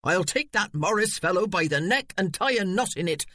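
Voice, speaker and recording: A narrator voicing a fierce, blunt old housekeeper with a rough, combative way of talking. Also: medium pitch, animated, normal speed, fairly bright tone, dry recording. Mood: defiant. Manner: rough, combative, plain-spoken.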